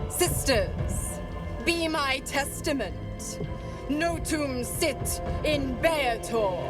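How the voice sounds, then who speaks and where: Commanding female devil, scheming, ceremonial, very slow, high-pitched, game villain, distant over music. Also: heavily acted. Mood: commanding ritual. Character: imperious, scheming female devil bargain-maker. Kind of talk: video game villain acting. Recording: distant, loud music underneath.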